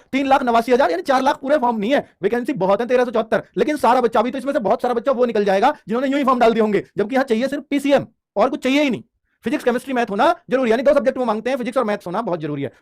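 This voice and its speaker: A man giving an informal explainer lesson as a coaching teacher, emphatic and repetitive, often checking in with his students. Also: extremely high pitch.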